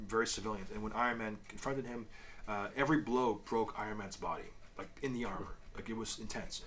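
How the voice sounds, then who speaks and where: A man's voice: on the low side, a bit bright, a little hiss or hum. A man co-hosting a casual review chat, explaining things in a loosely worded way with asides. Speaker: co-host of a comic review show.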